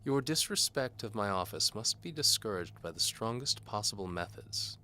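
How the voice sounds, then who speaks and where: A man's voice: on the low side, animated, normal speed, sharp tone, pitched above the performer's own voice. A man voicing a pompous, menacing bishop in an audio drama, speaking formally and with condescension. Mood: stern. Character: pompous, menacing bishop interrogating a prisoner, patronizing. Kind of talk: audio drama character acting.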